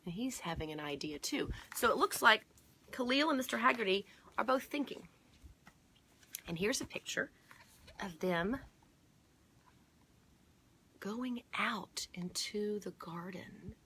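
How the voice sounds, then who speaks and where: A middle-aged woman hosting a children's storytime, reading a picture book aloud and pausing to point out details for young viewers. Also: medium pitch, lively intonation, sharp tone, a little hiss or hum. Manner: explanatory, inviting listeners to look closely and notice details. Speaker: children's storytime host at a museum.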